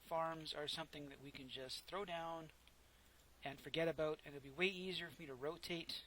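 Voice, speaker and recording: A soft-spoken man casually narrating his own gameplay, rambling and correcting himself as he goes, close to a desk microphone. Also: a little high, fairly even intonation, brisk, background noise. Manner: rambling, self-correcting, chatty. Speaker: gameplay commentator. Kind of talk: casual let's play commentary.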